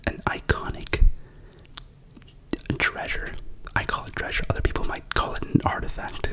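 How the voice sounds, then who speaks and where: A young man with a cough whispering a rambling, chatty ASMR unboxing as the channel's host. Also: rough recording. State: sick with a cough.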